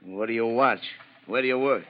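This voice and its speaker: An actor playing a bossy policeman on guard, with a gruff, curt, questioning manner in an old radio drama. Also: medium pitch, a little fast, natural voice. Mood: brusque. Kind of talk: 1940s radio drama acting. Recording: muffled, noisy old radio recording.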